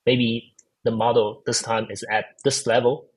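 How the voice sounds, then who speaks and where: A man's voice: medium pitch, flat delivery, a little slow, slightly rough. A man with a Chinese accent answering interview questions, hesitant with many fillers and restarts. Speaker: guest, AI researcher. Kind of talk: casual technical interview.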